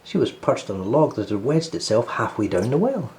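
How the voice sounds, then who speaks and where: A man narrating a children's storybook as host, in an expressive read-aloud style. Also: medium pitch, fairly quick, slight background noise.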